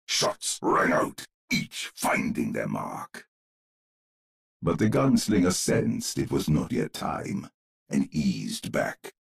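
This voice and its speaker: Gravelly, theatrical gothic storyteller. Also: male voice, rumbling low, exaggerated voice. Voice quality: gravelly, husky. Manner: theatrical, ominous, savouring each phrase. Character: grave old storyteller man narrating a gothic horror tale, theatrical. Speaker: narrator. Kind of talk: dramatic scripted storybook narration for a video game.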